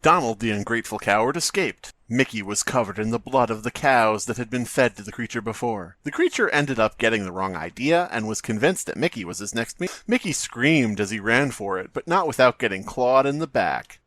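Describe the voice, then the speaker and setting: A man's voice: medium pitch, expressive, a little fast, few pauses, fairly bright tone, no room sound. A man co-hosting a podcast, reading a story aloud for comedy and bantering in a joking, theatrical way. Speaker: podcast co-host.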